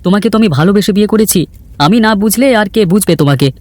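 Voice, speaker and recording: A man voicing a good-natured, grumbling villager in a fairy-tale cartoon, chatty and quick to complain. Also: high-pitched, a little mellow, no echo, lightly acted. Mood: agreeable, grumbling. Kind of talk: Bengali cartoon voice acting. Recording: background music under the voice.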